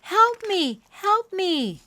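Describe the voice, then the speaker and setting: A woman's voice: extremely high pitch, very animated, extremely slow, bright, forceful tone, no room sound, voice pushed up, heavily acted. A woman voicing a worried, doubtful female robin in a children's storybook. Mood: alarmed. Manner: fretful, doubting.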